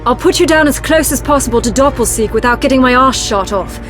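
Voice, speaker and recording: High-pitched, sardonic pilot. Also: male voice, fast, music in the background. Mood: resigned, brisk. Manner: sardonic, blunt. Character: gruff, sardonic British male wartime pilot. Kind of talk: dramatic video game cutscene acting.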